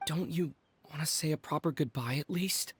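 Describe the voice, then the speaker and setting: A woman voicing a gentle, concerned young female adventurer in a video game, soft and questioning. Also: deep voice, moderate pace, slight background noise, close to an everyday voice. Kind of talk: game character acting.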